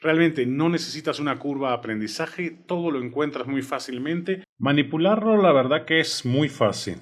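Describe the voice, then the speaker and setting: Cuban guy doing a tech review at home, kinda high voice, chatty and excited. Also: normal speed. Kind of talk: product review. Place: home recording studio.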